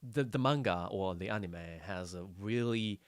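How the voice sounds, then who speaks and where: Low, dry-sounding man. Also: dry recording. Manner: halting, restarts often, non-native phrasing. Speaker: podcast co-host. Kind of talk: casual chat.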